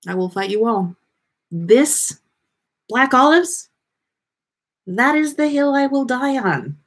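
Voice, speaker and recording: A woman's voice: sits high, sing-song, slow pace. A woman hosting a casual livestream, chatting with viewers in a playful, rambling way as she thinks aloud. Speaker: livestream podcast host. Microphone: webcam livestream setup.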